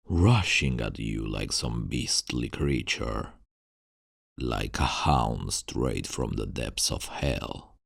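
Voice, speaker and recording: Man acting as courtly vampire baron, heavy vocal fry, close dry sound, old-fashioned phrasing, protective, soothing, ASMR monologue. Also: very deep voice, no room sound, exaggerated voice. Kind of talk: ASMR roleplay, scripted monologue to the listener.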